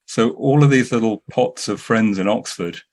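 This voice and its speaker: A man's voice: fairly low pitch, moderate pace, a little mellow. A man telling anecdotes as a filmmaker guest in a podcast interview, often restarting his sentences.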